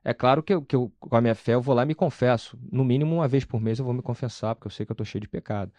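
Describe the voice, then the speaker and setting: A man's voice: mid-range voice, rapid-fire, darkish tone, no room sound. A man with a Carioca Brazilian accent, speaking as an interview guest in an emphatic, explanatory way, often asking and answering his own questions. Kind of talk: conversational testimony about personal faith.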